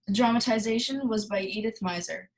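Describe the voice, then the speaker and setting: A woman playing a bright, polished male radio announcer with smooth patter, in 1930s-style radio announcing. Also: fairly high pitch, fairly quick, natural voice.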